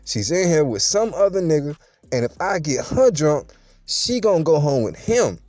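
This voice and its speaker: Animated storytelling man with street slang. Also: a little high, moderate pace, dry recording. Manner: streetwise, playful, boastful slang, addresses listeners directly. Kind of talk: casual storytelling to viewers.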